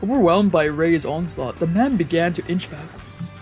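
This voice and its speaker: A woman streamer reading a visual novel aloud with casual, excitable, sweary reactions. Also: on the low side, normal speed, music in the background. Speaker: let's play streamer.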